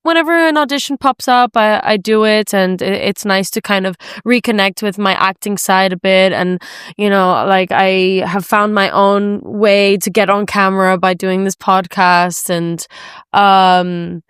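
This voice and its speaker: A woman's voice: mid-range voice, steady tone, unhurried, a bit bright, clean tone, no room sound, clean studio sound. A woman from Spain hosting a podcast in a casual, chatty way, often dropping "like" into her sentences. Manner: informal, chatty, peppered with "like". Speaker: podcast host. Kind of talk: casual conversational interview.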